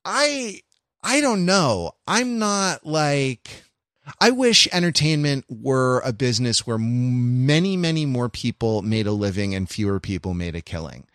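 Male podcast co-host, mid-pitched, close dry sound, conversational, circles back and repeats himself as he thinks aloud. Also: very animated, unhurried, fairly bright tone, no room sound. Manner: rambling, repeats and restarts phrases mid-thought. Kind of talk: casual podcast discussion.

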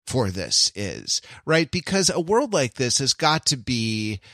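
Halting man, dry close sound. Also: mid-range voice, very animated, a bit bright, no echo. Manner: hesitant, halting, thoughtful. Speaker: podcast co-host. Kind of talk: casual film chat.

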